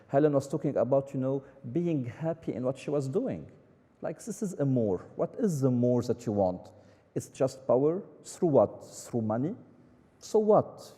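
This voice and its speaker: A man from Lebanon speaks onstage at a conference. His voice is very dark, and a long hall reverb trails behind it. He argues with quick, emphatic rhetorical questions. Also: mid-range voice. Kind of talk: onstage conversation.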